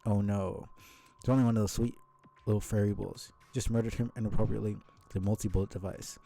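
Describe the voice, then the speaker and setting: A man's voice: on the low side, fairly even intonation, vocal fry, warm tone. A man reading a story aloud on a live stream, stumbling over words now and then and dropping in casual asides. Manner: halting, stumbles and rereads words.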